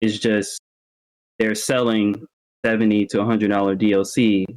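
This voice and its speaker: A man with a slightly low voice speaks as a podcast co-host. He lays out his opinions on games in a measured, conversational way, keeping it informal. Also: flat delivery, a little mellow, rough recording. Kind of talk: casual video game discussion.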